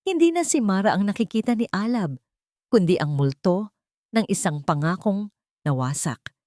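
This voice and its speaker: A woman narrating a dramatic audio story in a measured, scripted way. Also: medium pitch, dry recording, studio quality. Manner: measured, dramatic pacing with expressive rises.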